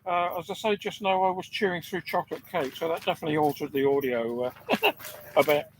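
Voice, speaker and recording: A man with a deep-sounding voice, an amateur radio operator chatting relaxed on air from a field station. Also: brisk, slight background noise, low-quality recording. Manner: relaxed, hesitant with fillers. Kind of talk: ham radio contact chat. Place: outdoor field station on a generator.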